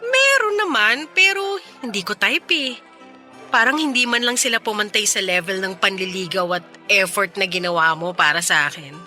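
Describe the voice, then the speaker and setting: A woman's voice: mid-range voice, lively intonation, normal speed, bright, forceful tone, soft background music. An actress playing a wistful young woman in a Tagalog radio drama, teasing and conversational as she talks with an old flame. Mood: playful, coy. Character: Mela, wistful young woman reunited with her ex.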